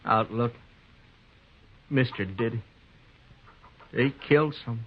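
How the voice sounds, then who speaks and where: An actor playing a wounded young homesteader, a curt and strained elder brother in a 1950s radio western. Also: male voice, medium pitch, slow pace, breathy edge. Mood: pained. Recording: muffled vintage radio recording.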